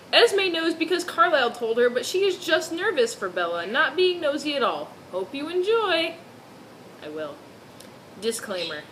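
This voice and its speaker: A woman's voice: sits high, sing-song, measured pace, fairly bright tone, a little hiss or hum, poor audio. A woman doing a mock-serious dramatic reading of fan fiction, dropping in amused asides.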